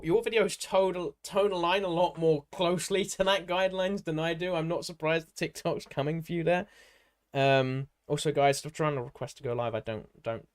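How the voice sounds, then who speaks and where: A man hosting a casual live stream, rambling in an informal, matey way as he hops between viewer comments. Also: fairly high pitch. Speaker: livestream host and content creator.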